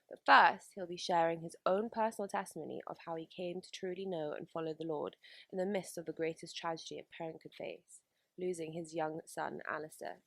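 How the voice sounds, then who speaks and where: Creaky-voiced woman hosting. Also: fairly low pitch, fairly bright tone, no echo. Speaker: radio show host. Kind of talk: introducing and interviewing a guest.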